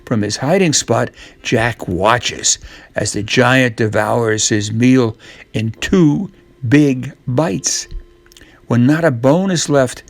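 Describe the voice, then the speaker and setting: A man narrating a scripted children's story, reading aloud in a measured, expressive way. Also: mid-range voice, creaky, no room sound.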